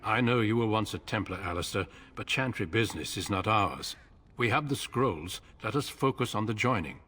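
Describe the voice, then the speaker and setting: An actor voicing a grave older male warden commander in a video game, speaking in a solemn, measured way. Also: on the low side, animated, a bit bright. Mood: stern.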